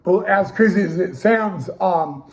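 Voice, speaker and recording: Man, high voice, young adult, sports host, hoarse, stammering restarts. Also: unhurried. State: losing his voice. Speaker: podcast host. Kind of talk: casual sports talk show.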